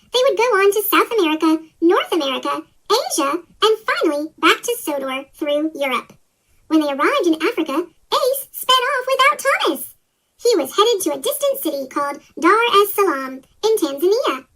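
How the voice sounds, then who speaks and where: A woman reading a children's story aloud as scripted narration, her voice sped up with a chipmunk pitch-shift effect. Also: big pitch swings, moderate pace, sharp tone.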